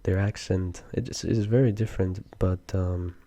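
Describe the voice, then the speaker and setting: A young man from Peru with a Peruvian Spanish accent, speaking English softly as an interview guest, hesitant with many fillers. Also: deep voice, fairly even intonation, moderate pace. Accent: Peruvian Spanish, mixed with Mexican and Argentinian. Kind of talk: soft-spoken casual interview.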